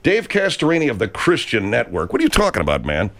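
A man's voice: medium pitch, huge pitch swings, fast talker, fairly bright tone. A man hosting a morning radio show, mocking and crude with jokes. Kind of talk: irreverent morning radio banter.

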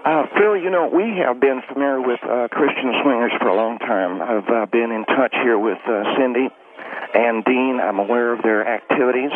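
A man speaking as a reverend guest over the phone, halting with many fillers. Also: medium pitch. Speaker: youth ministry reverend guest. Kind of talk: phone-in interview. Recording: tinny noisy phone line.

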